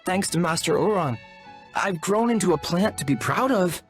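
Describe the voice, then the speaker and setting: A man's voice: high-pitched, animated, moderate pace. An actor playing an earnest, courteous and wordy young shaman apprentice in a video game, speaking in a formal, polite manner. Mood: earnest, proud. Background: music.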